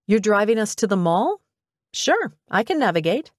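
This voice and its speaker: A wry young woman from an audiobook story , acted by a woman narrator. She has a lightly sarcastic edge and pitches above the narration, in a close, dry sound. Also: very animated, moderate pace, dry recording. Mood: questioning, eager.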